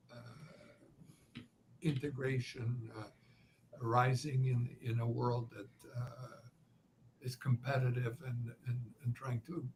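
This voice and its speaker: A man from Canada with a slight Ukrainian accent, a writer and journalist giving measured, deliberate interview answers with frequent pauses. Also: medium pitch, slight background noise. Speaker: guest, author and journalist.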